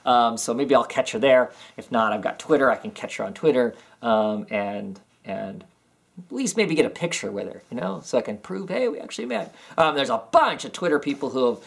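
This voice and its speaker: A man's voice: medium pitch, expressive, fairly quick, gravelly, slightly rough. A man in his thirties chatting casually in a home vlog, rambling with many ums and restarts. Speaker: comics vlogger. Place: small home room with filing cabinets, indoors.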